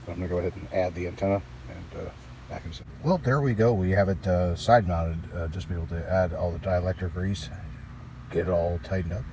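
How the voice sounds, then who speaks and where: Low-voiced man, noisy outdoor recording. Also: dark tone. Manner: halting, folksy, offhand. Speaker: host of a DIY radio repair channel. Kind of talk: casual hands-on repair walkthrough. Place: outdoors beside a car.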